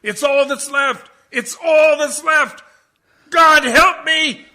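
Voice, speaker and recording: An actor in a radio horror play playing a terrified ship's mate driven mad, raving between hushed dread and screams. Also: male voice, extremely high pitch, slow pace, exaggerated voice. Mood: despairing, crazed.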